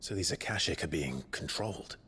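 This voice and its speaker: Low-voiced, grave warrior. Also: male voice, animated, pushed and bright, slight background noise, full character voice. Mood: grave, resolute. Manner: measured, terse, resolute. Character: grave young male warrior leader, commanding, protective brother. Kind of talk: video game drama acting.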